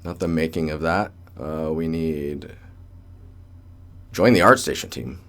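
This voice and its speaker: A man's voice: extremely low pitch, unhurried, a bit bright. A young man hosting a casual livestream, rambling and joking in a colloquial way as he gives commentary. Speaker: livestream host, digital artist. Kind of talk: casual livestream chat and portfolio critique. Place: indoor room with door closed.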